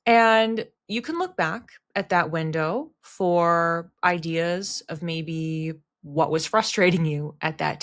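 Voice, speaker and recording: A woman giving an explanatory solo talk to viewers in a conversational manner, restating words for emphasis. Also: fairly low pitch. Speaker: astrology content creator and host.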